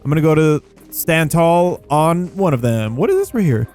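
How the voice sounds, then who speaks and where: A man's voice: a little high, big pitch swings, moderate pace, a little mellow. A man hosting a gaming video with casual, rambling commentary, playful and full of informal slang. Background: background music.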